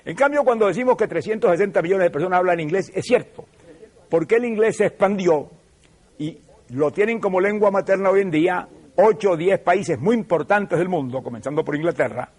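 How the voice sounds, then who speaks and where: Older man, Venezuelan accent, slightly high voice, measured lecturing, emphatic. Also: a little mellow. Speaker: TV show host. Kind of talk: televised lecture.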